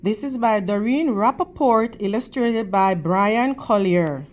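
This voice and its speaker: A woman reading a picture book aloud to children in a teacherly manner, with deliberate, emphatic phrasing and questions for her young listeners. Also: mid-range voice, lively intonation. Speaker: read-aloud storytime host for children.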